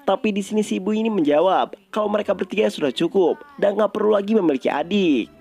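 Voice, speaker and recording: A man's voice: high voice, fast, vocal fry, a little mellow. A man narrates a story recap. His delivery is colloquial and conversational, like casual scripted storytelling for an online video.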